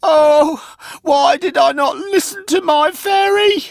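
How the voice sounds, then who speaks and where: Shrill, wailing little puppet boy. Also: takes it slowly, gravelly, no room sound, studio quality, full character voice. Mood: despairing wailing. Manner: panicky, tearful. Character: frightened wailing wooden puppet boy turning into donkey.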